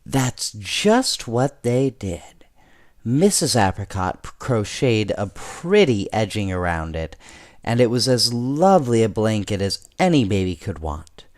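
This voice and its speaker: A man reading a bedtime story with casual asides, speaking gently and directly to young listeners. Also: fairly low pitch, very animated, a little slow.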